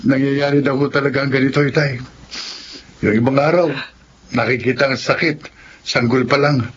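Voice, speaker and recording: A man's voice: mid-range voice, steady tone, moderate pace, highs cut off, a little hiss or hum, natural voice. An actor playing a troubled young husband and father in a radio drama, speaking in a grave, subdued way.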